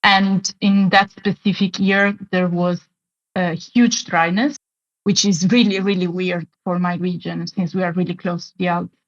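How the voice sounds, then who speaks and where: A woman's voice: fairly low pitch, fairly even intonation, measured pace, muffled recording. A woman in her thirties from Italy, speaking English with an Italian accent as an interview guest, earnest and conversational. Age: 30s. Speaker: guest, climate activist. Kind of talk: casual interview talk.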